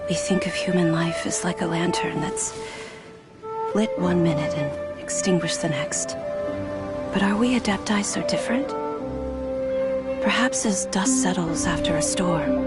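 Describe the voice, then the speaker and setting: A woman's voice: on the low side, measured pace, long pauses, over loud music, close to an everyday voice. A woman playing a reflective, world-weary immortal in a video game, speaking in a contemplative, philosophical way. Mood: pensive. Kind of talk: scripted game dialogue.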